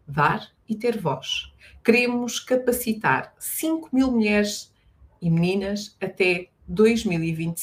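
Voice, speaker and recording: A woman with a slightly low voice hosts a live conversation show. She speaks as the presenter, leading the talk with her guest. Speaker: host.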